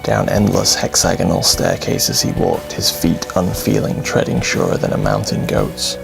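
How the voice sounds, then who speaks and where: Extremely deep, gravelly male storyteller. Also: very animated. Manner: grave, dramatic, deliberate delivery. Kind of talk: scripted horror bedtime story narration. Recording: music under the voice.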